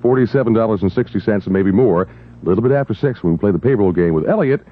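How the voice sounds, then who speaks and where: A man's voice: lowish voice, lively intonation, quick pace, husky. A man working as a 1970s top-40 radio disc jockey, delivering jokey patter with wisecracking asides. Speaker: overnight radio DJ. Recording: dull 1970s radio aircheck over loud music. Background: pop records and jingles.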